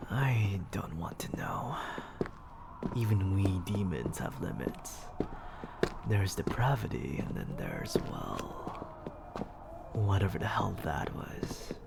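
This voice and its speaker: Haughty demon lord for ASMR roleplay, performed by an actor: suave, gravelly, lively intonation, frequent whispering, close-miked with clear background noise. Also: deep voice. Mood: disdainful, wry. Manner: slow, theatrical, often dropping into breathy whisper.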